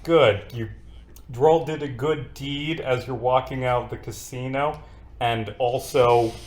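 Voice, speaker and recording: A man running a tabletop game as dungeon master, animated and joking, often correcting himself mid-sentence. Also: medium pitch, a little slow.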